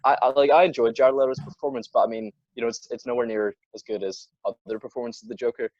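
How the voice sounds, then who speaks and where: A man's voice: medium pitch, steady tone, fast, darkish tone, no echo, dull audio. A teenage male podcast co-host chatting casually about films in a blunt, sweary way, often repeating words as he goes. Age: teens. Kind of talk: casual film discussion.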